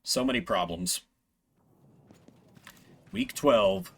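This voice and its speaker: A man giving expressive commentary as he plays and voices a game on stream. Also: on the low side, very slow, vocal fry, breathy edge. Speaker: game streamer voicing all characters.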